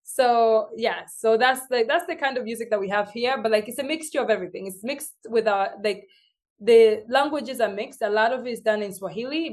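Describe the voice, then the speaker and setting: Lively Tanzanian woman telling stories. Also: fairly high pitch. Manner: lively storyteller, acts out what others say. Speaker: guest. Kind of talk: casual interview chat.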